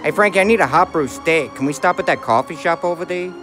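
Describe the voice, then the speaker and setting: An actor playing a laid-back mobster sidekick in a comedic crime audio drama, talking in casual slang. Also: male voice, on the high side, fast, over music, close to an everyday voice. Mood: upbeat. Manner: casual, foul-mouthed banter.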